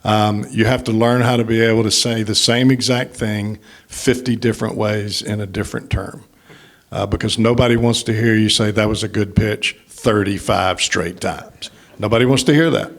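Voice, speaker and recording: A man who works as a baseball color analyst, speaking in a deliberate, halting, conversational way on a panel. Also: lowish voice, fairly even intonation.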